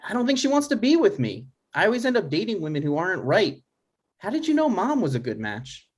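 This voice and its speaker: A man playing a neurotic, animated grown son. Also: high-pitched, a little fast, husky, heavily acted. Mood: anxious, dry. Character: neurotic, touchy 36-year-old son. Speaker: writer and actor in a table read. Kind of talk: scripted dialogue, comedy table read.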